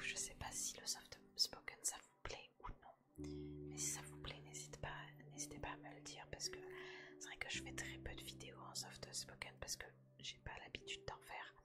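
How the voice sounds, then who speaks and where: A woman speaking in a breathy, unvoiced whisper, chatting casually and unhurriedly to viewers in an ASMR style. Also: a bass voice. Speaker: ASMR video creator and host.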